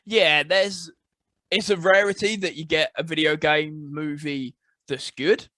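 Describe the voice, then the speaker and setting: A man co-hosting a casual podcast, easygoing and quick to agree. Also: a little high, fairly even intonation, sharp tone, no room sound. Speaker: podcast co-host. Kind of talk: casual chat. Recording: dry close sound.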